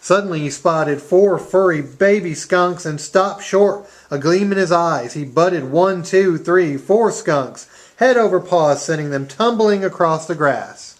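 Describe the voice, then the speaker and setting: A children's storyteller narrating a puppet show. The voice sits at a middle pitch and tells the tale in an expressive storybook style. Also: measured pace, few pauses.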